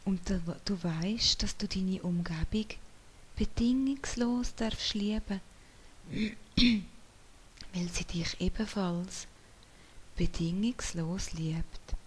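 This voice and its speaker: A woman whispering softly as she leads a guided meditation in a slow, soothing way. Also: mid-range voice, husky, low-quality recording. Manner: soft whispering.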